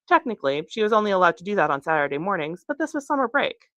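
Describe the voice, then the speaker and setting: A woman reading from a novel aloud as its author, in an expressive storytelling style. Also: medium pitch, rapid-fire, no room sound. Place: bookstore reading.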